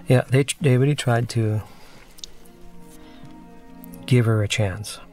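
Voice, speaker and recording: A man's voice: medium pitch, takes it slowly, darkish tone. A man giving casual, reflective commentary on a film he made, explaining and rephrasing as he goes, with the film's soundtrack underneath. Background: film music and dialogue.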